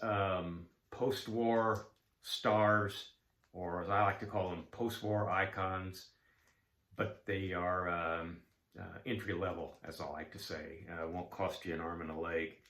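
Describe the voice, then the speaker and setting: A man's voice: low-pitched, a bit bright, roomy sound. A man, talking casually about collecting and filling his talk with ums and uhs. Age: 70s. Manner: rambling, frequent ums and uhs, folksy. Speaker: card collecting vlogger. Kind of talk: casual chat.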